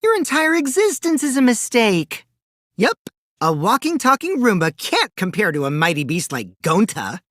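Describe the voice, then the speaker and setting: A man's voice: sits high, huge pitch swings, moderate pace, fairly bright tone, no room sound, studio quality, exaggerated voice. A man voicing a mischievous, taunting teenage boy schemer in a video game, with a light boyish voice and a theatrical, teasing delivery. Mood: mocking, playful. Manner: theatrical, teasing, swinging between sing-song and cold. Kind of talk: animated video game dub acting.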